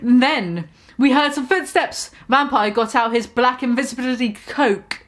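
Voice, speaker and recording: Young woman reading fanfic all dramatic, kinda high voice, cracks herself up and swears. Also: unhurried. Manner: breaks into laughter, swears, comments on the text. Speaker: reader. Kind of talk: mock-dramatic reading of fan fiction.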